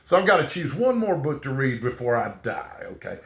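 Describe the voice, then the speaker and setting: A man's voice: mid-range voice, big pitch swings, reverberant. Chatty man with a slight Texan accent. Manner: rambling, repeats "okay?" often, halting restarts. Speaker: booktuber. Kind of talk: casual chat to camera.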